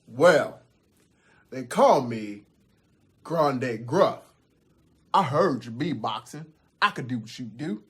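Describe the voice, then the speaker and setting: A man performs a big billy goat from a folk tale, the confident older brother. He talks in bold, slangy, rhythmic phrases, unhurried and full of swing in pitch. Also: animated, fairly bright tone, breathy edge, full character voice. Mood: cool confidence. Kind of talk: theatrical storytelling.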